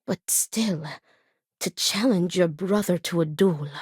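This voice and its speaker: An actress voicing a grave, principled and devout woman in a video game, speaking in formal, measured, stern phrases. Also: lowish voice, a little mellow, husky, no room sound, clean studio sound. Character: grave, principled woman acting as duel second, devout, weary. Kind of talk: video game character dialogue.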